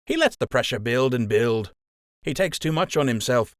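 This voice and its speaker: A man's voice: mid-range voice, lively intonation, normal speed, dry recording, clean studio sound, pitched above the performer's own voice, close to an everyday voice. A man voicing a young male rabbit soldier in an audiobook, friendly and candid, with a casual, mischievous way of talking. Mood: frank, confiding.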